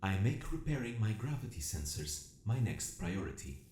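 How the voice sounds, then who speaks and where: A man plays a damaged robot narrating its own audio drama, formal and precise in a soft, mellow tone. The phrasing is measured and clinical, yet the pitch moves expressively. The voice rings in a roomy space with music behind it. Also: sing-song, a little hiss or hum. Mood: calm, methodical. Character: damaged military robot awakening, logical, methodical, quietly curious.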